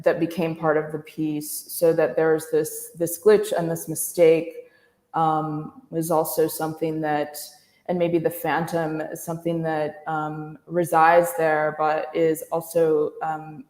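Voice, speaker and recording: A woman giving an informal talk as an artist, speaking thoughtfully and haltingly with trailing pauses. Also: low voice, a little mellow. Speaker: visual artist, guest speaker. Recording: echoey reverberant room.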